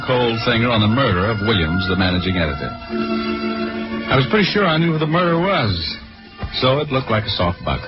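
Guy acting as breezy american gumshoe, low n lively, old muffled radio drama w/ music. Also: natural voice. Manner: breezy, flippant, wry. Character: wisecracking, cocky male private detective narrator. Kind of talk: 1940s radio detective acting, first-person narration. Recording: dull 1940s radio recording with music.

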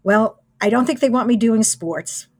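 A woman's voice: fairly high pitch, moderate pace, a little mellow, no echo, clean studio sound. A woman in her sixties reminiscing casually as an interview guest. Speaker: interviewee, radio station alumna.

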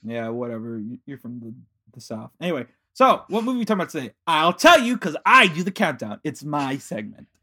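A man's voice: on the high side, sing-song, pushed and bright. A man from Chicago with a Chicago accent, hosting a casual podcast chat in a playful, joking way. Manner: playful, jokey, quick asides. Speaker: podcast host.

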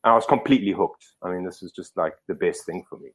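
A middle-aged man from Zimbabwe with a fairly low voice, talking as a guest. He rambles through his stories, correcting himself and filling pauses as he goes. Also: breathy edge. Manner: rambling, self-correcting, lots of fillers. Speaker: podcast guest. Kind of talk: casual storytelling interview.

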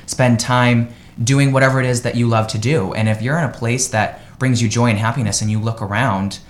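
A man's voice: medium pitch, fairly even intonation, fairly quick, a little hiss or hum. Upbeat, enthusiastic man guesting on live radio. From United States. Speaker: guest, real estate agent.

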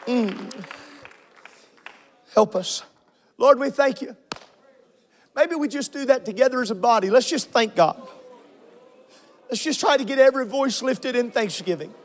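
Breathless pastor guy, really high voice, shouting then murmuring thank yous, church crowd faint behind. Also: measured pace, long pauses. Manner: fervent, repeating phrases, shouting and dropping to low murmurs. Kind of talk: Pentecostal preaching and prayer. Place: church sanctuary with congregation.

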